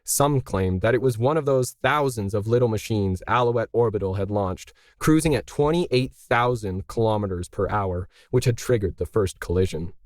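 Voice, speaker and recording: A man's voice: medium pitch, a little mellow, no room sound. Male audiobook narrator, very dry sound. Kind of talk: scripted story narration.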